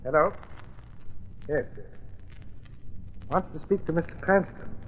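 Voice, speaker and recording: A man's voice: on the high side, measured pace, lightly acted. An actor playing a courteous, concerned male doctor in vintage radio drama style. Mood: concerned, animated. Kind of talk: 1930s radio drama acting. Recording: dull, hissy vintage radio recording.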